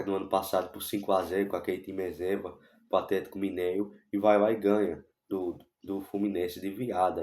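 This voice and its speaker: A man with a Brazilian accent giving his casual take on a football match for his channel, hoarse from a cold and hesitant, with many fillers and restarts. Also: sits low, a little mellow, reverberant. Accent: Brazilian Portuguese. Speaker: football YouTuber. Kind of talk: casual match commentary vlog.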